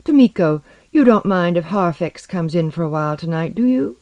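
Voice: medium pitch, lively intonation, moderate pace, no room sound, muffled recording, pitched above the performer's own voice, lightly acted. A narrator voicing a serene, innocent, flirtatious woman crewmate in a light, coaxing manner.

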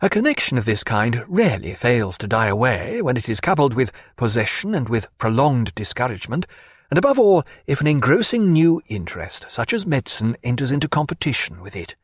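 A man voicing a guarded, formal physician who speaks in a measured, dry, precise way. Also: mid-range voice, very animated, slightly rough, dry recording. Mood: calm. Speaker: doctor.

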